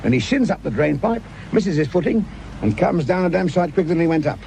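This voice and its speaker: An actor playing a wry, middle-aged English police sergeant with a gravelly voice, grumbling and dry-humoured in a radio drama. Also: male voice, fairly high pitch, very animated, fairly quick, dark tone, a little hiss or hum. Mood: dry, deliberate.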